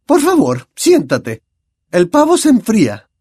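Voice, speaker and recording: A dry, impatient schoolmistress, put on by a male narrator, clipped and cold. Her voice sits high with a lively rise and fall, in a dry, close recording. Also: a little slow, breathy edge, dry recording, full character voice. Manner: curt, cold, sarcastic.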